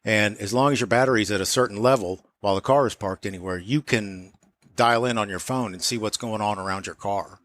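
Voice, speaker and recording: A man's voice: lowish voice, a little fast, a bit bright, dry recording. A man speaking as an auto shop owner and guest, explaining things informally in a casual interview.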